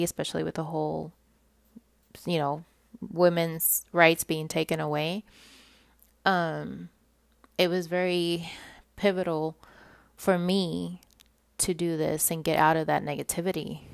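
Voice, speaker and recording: A woman's voice: low voice, creaky, no room sound. A woman with a Puerto Rican accent in her thirties, candidly chatting through a personal podcast monologue and laughing often. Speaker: podcast host.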